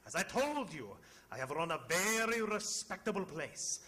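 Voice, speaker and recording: Excitable, high-pitched restaurateur. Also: male voice, normal speed, heavily acted. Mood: anxious, pleading. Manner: formal, pleading, excitable. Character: anxious, courteous Italian male restaurant owner. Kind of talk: 1940s radio drama character acting.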